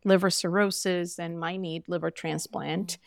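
A middle-aged woman talks through medical issues in an earnest, emphatic way. Her lowish voice has a gravelly fry. The recording is dry and close. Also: little pitch movement, measured pace, dry recording. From Brazil. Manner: earnest and emphatic, frequent fillers. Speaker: physician guest. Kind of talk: conversational interview.

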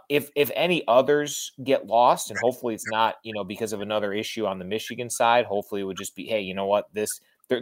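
An American man giving conversational sports analysis as an interview guest, emphatic and repeating words as he reasons things through. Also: mid-range voice. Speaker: sports radio play-by-play broadcaster, interview guest.

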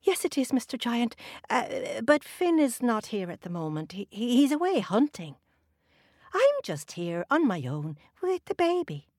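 A woman's voice: a little high, very animated, unhurried, a little mellow, no echo, studio quality, pitched above the performer's own voice. A woman voicing a clever, sweet-talking housewife in a told folktale, coaxing and falsely polite. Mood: flustered.

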